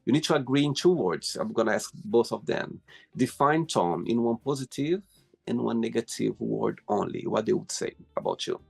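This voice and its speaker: A man's voice: medium pitch, expressive, moderate pace, creaky, darkish tone, husky. A man with a Brazilian accent hosting a casual interview, effusive and full of "you know" fillers. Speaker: host.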